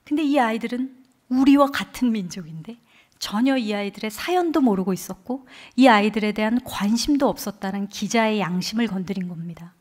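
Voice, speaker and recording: A woman's voice: mid-range voice, a little fast. A woman in her forties giving a heartfelt testimony as a guest speaker at a church revival, telling her story with earnest conviction into a microphone. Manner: earnest storytelling, quoting others with lively emotion. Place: church sanctuary.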